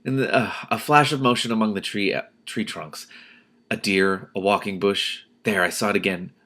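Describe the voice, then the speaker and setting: A man's voice: lowish voice, normal speed, bright, forceful tone. A man reading a novel aloud expressively, breaking off to comment and exclaim in his own words. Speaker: reader and commentator, host of a read-aloud series.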